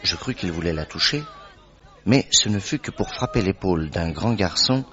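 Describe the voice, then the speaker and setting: Wry detective's sidekick and narrator, husky, very varied intonation, radio drama, dull muffled recording. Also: male voice, sits low, moderate pace, some chatter behind. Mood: wry narrating. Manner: wry, expressive storytelling.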